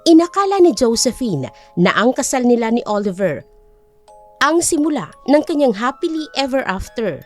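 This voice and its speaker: A woman's voice: on the high side, big pitch swings, normal speed, darkish tone, faint music behind. A woman narrating an audiobook with a dramatic, scripted storytelling delivery.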